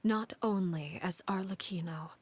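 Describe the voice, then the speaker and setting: A woman playing a cold, stern female house leader in a video game, speaking in a composed, deliberate and formal way with a low, breathy voice. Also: no room sound. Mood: measured, cold.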